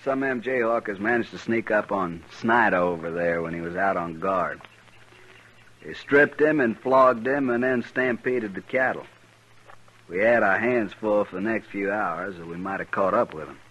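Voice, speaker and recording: An actor playing a proud, embittered Texan cattle boss in a 1950s western radio drama, with a folksy, plain-spoken manner. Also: male voice, fairly low pitch, moderate pace, a bit bright. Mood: bitter. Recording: muffled, noisy vintage radio recording.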